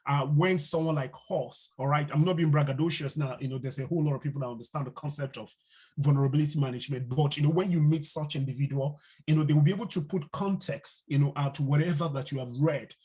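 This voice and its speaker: A man teaching a live online cybersecurity class, unhurried and full of fillers, now and then putting questions to the class. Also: on the high side, warm tone, husky. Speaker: instructor. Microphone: video call mic.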